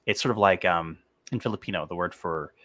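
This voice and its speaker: A man's voice: low voice, brisk, fairly bright tone. A man hosting a podcast, explaining ideas eagerly and hedging his opinions in casual conversation. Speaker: podcast host.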